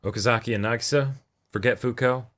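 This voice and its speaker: A man's voice: medium pitch, steady tone, fast, a bit bright. A man co-hosting a reaction show, making casual, playful, tongue-in-cheek remarks. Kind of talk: casual reaction chat and commentary.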